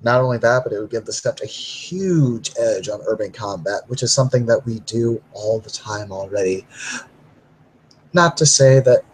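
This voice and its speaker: A man's voice: mid-range voice, normal speed, slight background noise, natural voice, rough recording. A man playing a resigned, self-deprecating urban werewolf in a tabletop roleplay, wry and noncommittal. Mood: matter-of-fact. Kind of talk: in-character tabletop roleplay dialogue.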